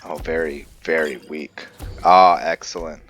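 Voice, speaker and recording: A man's voice: extremely low pitch, steady tone, a little slow, a bit bright, slight background noise. A man giving casual gameplay commentary, thinking aloud and musing to himself as he goes. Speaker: gameplay video host.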